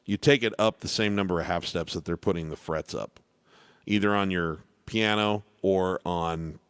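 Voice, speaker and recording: A man with a deep baritone talks through piano questions in an easygoing, meandering way, often restarting his sentences. His voice is smooth and resonant, and the sound is close and dry with no room around it. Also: no echo. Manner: relaxed, rambling with restarts and fillers. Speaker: piano teacher hosting live Q&A. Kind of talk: casual live-stream teaching.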